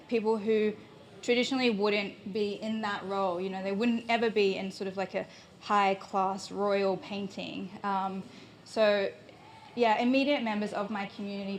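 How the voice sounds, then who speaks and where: A woman's voice: medium pitch, slight background noise. A young woman from New Zealand speaks at a live gallery talk, reflective and a little hesitant. She often thinks aloud as she answers. Faint room ambience sits behind her handheld mic. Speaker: painter, invited artist. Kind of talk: live public conversation. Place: gallery space with an audience. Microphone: handheld microphone.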